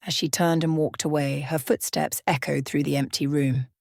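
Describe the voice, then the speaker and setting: Female ASMR narrator: slightly low register, measured dramatic storytelling, hushed, very dry close-mic sound. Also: steady tone, vocal fry, no echo. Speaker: storyteller, channel host.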